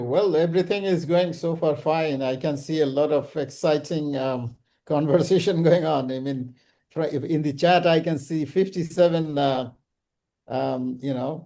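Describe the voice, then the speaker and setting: A man hosting an online business summit, talking casually and haltingly with many ums and uhs over a video call. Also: a little high, warm tone. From Bangladesh. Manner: hesitant, full of ums and uhs. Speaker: summit host.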